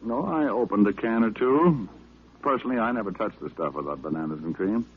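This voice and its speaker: A man's voice: fairly low pitch, expressive, brisk, breathy edge. An actor playing a sardonic, wisecracking male private detective who also narrates in 1950s radio drama style. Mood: cool needling. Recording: narrow, tinny old-time radio recording.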